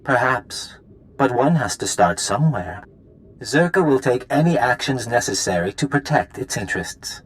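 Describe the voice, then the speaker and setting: A man's voice: mid-range voice, animated, normal speed, natural voice. Suave villain with swooping delivery by a man. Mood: smoothly threatening. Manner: suave, mock-courteous. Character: smug, polite young male corporate enforcer villain. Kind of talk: video game villain acting.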